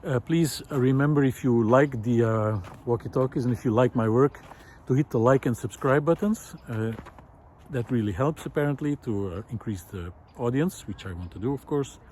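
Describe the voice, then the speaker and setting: A man's voice: mid-range voice, normal speed, darkish tone, a little hiss or hum. A man hosting a vlog, giving a reflective, unscripted talk with thoughtful, hesitant phrasing while walking outdoors. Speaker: vlog host. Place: outdoors in a snowy park, walking.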